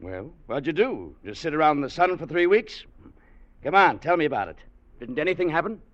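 Brisk, needling old-radio boss. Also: male voice, a little high, sing-song. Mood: jovial prodding. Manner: brisk, prodding questions. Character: needling, inquisitive male boss. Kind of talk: 1940s radio drama acting. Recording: dull vintage radio broadcast.

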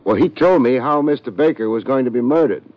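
A man's voice: mid-range voice, lively intonation, brisk, no echo, natural voice. An actor playing a dry, wisecracking private detective who narrates his own case in an old radio drama, on a muffled old recording. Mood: wry. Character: wisecracking hard-boiled male private detective. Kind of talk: 1940s radio drama acting.

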